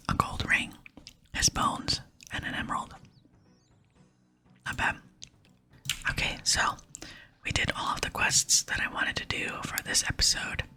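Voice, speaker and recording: A woman hosting an ASMR gaming video, whispering chatty, gentle commentary to her viewers. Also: measured pace, long pauses. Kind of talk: whispered ASMR game commentary and quest reading. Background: soft music.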